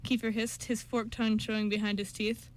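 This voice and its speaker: A woman with a slightly high voice narrates a scripted radio story. She reads in an amateur way, occasionally stumbling on a word. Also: level tone, a little fast, low-quality recording. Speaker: narrator and voice actor on a radio show.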